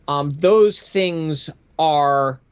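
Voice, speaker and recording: Middle-aged American male, veteran aviator as interview guest. Higher-set voice, conversational and matter-of-fact, slightly boxy lo-fi sound. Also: drawn-out words, clear, resonant tone. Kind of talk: conversational storytelling.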